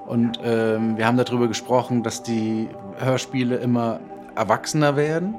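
A man who is an author talks casually, thinking aloud with halting restarts. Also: mid-range voice, steady tone, over music. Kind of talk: casual interview talk.

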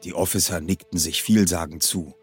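A man's voice: low-pitched, fairly even intonation, moderate pace, soft background music. A man reading a novel aloud expressively, shifting his voice for the characters. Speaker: reader of a novel.

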